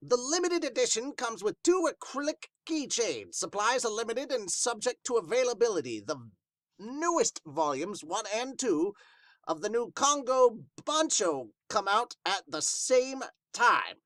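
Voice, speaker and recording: A man putting on an upbeat announcer who reads out manga promotions in a drawn-out, emphatic style. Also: extremely high pitch, big pitch swings, a bit bright, no echo, voice pushed up, exaggerated voice. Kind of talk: scripted announcement reading.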